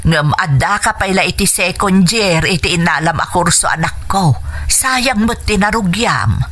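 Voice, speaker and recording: A woman's voice: lowish voice, lively intonation, measured pace, a bit bright. A woman playing a worried, tender middle-aged mother in a radio drama, with an Ilocano Filipino accent. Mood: worried, dismayed. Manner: tender, concerned. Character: worried, tender widowed mother of a grown son.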